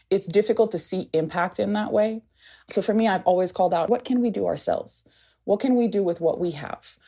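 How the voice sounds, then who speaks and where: Low-voiced woman with an American accent. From Haiti. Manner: animated, candid, lots of "like". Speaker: anthropologist and podcast guest. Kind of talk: conversational interview.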